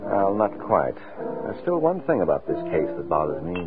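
An actor playing a quick-witted, self-assured, flirtatious American private detective in a 1940s radio drama, wry and smooth-talking. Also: male voice, low-pitched, wildly swooping pitch, full character voice. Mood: relaxed, flirtatious. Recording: tinny, muffled old radio recording, music.

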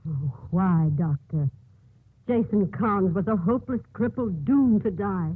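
An actress playing an earnest, determined nun in a 1950s radio drama, speaking with urgency and emphasis. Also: female voice, medium pitch, animated, unhurried, slightly rough, no room sound. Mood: grave. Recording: muffled, noisy 1950s radio broadcast recording.

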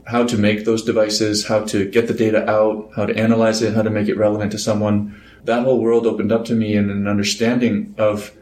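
A man from Iceland, a guest engineer explaining his work in a measured, unhurried way. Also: lowish voice, level tone, a little mellow, echoey room. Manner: measured, explanatory, with small laughs. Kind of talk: casual interview.

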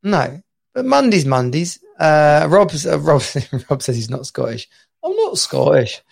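Male stream co-host, slightly higher voice with gravel, rambling casual banter. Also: wildly swooping pitch, measured pace. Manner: rambling, chatty, laughs often. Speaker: podcast co-host. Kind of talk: casual live-stream chat.

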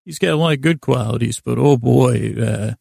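A man hosting a podcast in a gravelly, creaky voice, rambling through a halting, self-deprecating bedtime monologue that trails off and repeats words. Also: mid-range voice, warm tone, dry recording, clean studio sound. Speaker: podcast host.

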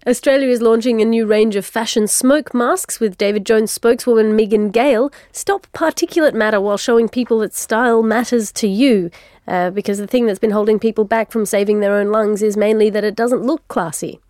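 A woman hosting a comedy podcast, reading satirical news items and trading jokes with a guest in a deadpan, dry way. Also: on the high side, fairly quick, no echo. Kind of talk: comedic scripted news segments.

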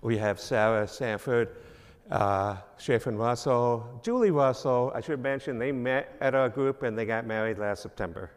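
A man's voice: medium pitch, moderate pace. An older man with a New York accent, a minister giving a rambling, self-deprecating introduction at a church service, full of fillers. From New York, Long Island. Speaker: guest speaker, minister. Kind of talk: informal church address. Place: church sanctuary. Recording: long reverb of a church hall.

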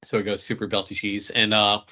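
A man's voice: fairly low pitch, little pitch movement, brisk, pushed and bright. An American man chatting casually as a podcast co-host. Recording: dull, lo-fi audio.

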